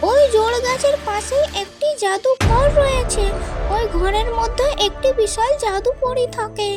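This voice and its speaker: A woman's voice: very high-pitched, a little slow, over music. A woman voicing a stern female hermit sage in a fairy-tale cartoon, speaking in a solemn, imperious manner. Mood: solemn, instructive.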